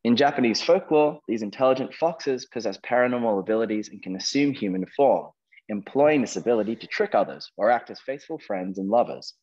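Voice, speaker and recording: A man's voice: medium pitch, animated, a little fast, darkish tone, lo-fi sound. A man hosting a quiz, reading questions and ruling on answers. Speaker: quizmaster, host.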